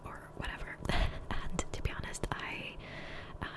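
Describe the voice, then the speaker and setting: A woman speaking in a soft, breathy whisper close to the microphone, rambling casually and self-deprecatingly as an ASMR gaming creator. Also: measured pace. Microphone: close USB condenser microphone.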